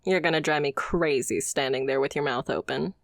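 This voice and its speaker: A woman's voice: deep voice, brisk, no room sound, clean studio sound. A woman playing a confident, haughty supervillain boss in a scripted ASMR roleplay, speaking with teasing, condescending poise. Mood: haughty, brisk. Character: confident female supervillain boss, haughty yet playfully amused.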